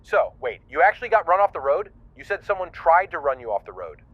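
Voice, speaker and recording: An earnest, fretful young male investigator calling in on a thin phone line. His voice sits fairly high and moves in lively swings. He is quirky and precise, with a deadpan streak. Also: a little fast, dry recording. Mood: concerned. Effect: phone call filter. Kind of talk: audio drama dialogue.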